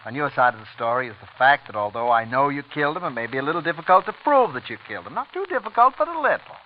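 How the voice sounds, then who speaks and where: A man's voice: mid-range voice, very animated, quick pace, lightly acted. Guy acting as smug blunt young DA in old radio mystery, muffled recording w/ some hiss. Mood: accusing, confident. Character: clever, brash, unscrupulous young male assistant district attorney. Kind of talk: 1940s radio drama acting.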